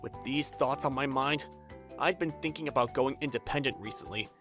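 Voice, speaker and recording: A man's voice: mid-range voice, fairly quick, fairly bright tone, faint music behind, lightly acted. A man voicing a stubborn, earnest young designer who narrates a manga dub and swings from reflective to exasperated. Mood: reflective narration. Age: young adult. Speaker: narrator and lead character. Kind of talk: anime-style manga dub, dramatized first-person narration.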